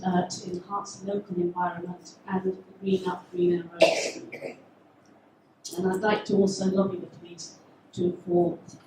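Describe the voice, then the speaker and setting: A woman from England with a fairly low voice gives a hesitant campaign speech, often pausing on fillers. She stands well back from the mic in a very echoey school hall. Clear background noise runs under her. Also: far from the mic. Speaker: local election candidate. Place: school hall at a public meeting.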